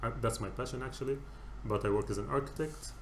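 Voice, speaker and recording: A man's voice: mid-range voice, quick pace, a little hiss or hum. A man around thirty, originally from Egypt, chatting casually as a podcast guest, laughing often and trailing off mid-sentence. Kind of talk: casual chat. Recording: echoey room with faint ambience.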